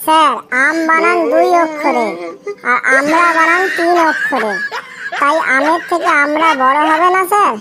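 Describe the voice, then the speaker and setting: A woman's voice: high voice, moderate pace, over loud music, close to an everyday voice. A woman voicing a cheeky, silly young schoolboy in a comedy cartoon. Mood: eager, smug. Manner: cheeky, earnest silly answers. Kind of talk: comedy cartoon dialogue in Bengali.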